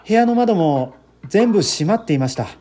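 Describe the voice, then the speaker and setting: A man's voice: high-pitched, big pitch swings, moderate pace, warm tone, husky, full character voice. A man reading the part of an earnest, anxious man who politely recounts a friend's death in a dramatic radio-story style. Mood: polite, guarded.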